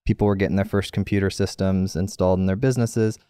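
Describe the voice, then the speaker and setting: A man's voice: sits low, steady tone, fast talker, warm tone, clear, resonant tone, no room sound, clean studio sound. A man in his thirties telling stories casually as a guest in an interview. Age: 30s. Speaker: podcast guest, tech entrepreneur.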